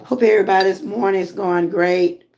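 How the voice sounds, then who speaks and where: A Southern woman from Georgia with a hoarse voice, chatting casually with viewers on a live stream from home. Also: medium pitch, normal speed, a little hiss or hum. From Georgia, USA. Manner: relaxed, chatty, greets viewers often. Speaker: lifestyle vlogger and channel host.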